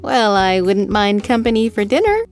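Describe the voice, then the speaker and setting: A woman's voice: medium pitch, very animated, normal speed, soft background music. A woman playing a bold, teasing and confident female author in a fantasy audio drama. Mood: playful, confident.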